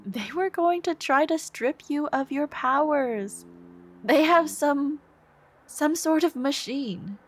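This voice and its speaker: A woman voicing an obsessive, devoted, soft-spoken supervillain in a scripted ASMR roleplay, intimate and menacing, with phrases trailing into whispers. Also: high-pitched, animated. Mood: scornful. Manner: slow, intimate, breathy sighs and whispered phrase endings.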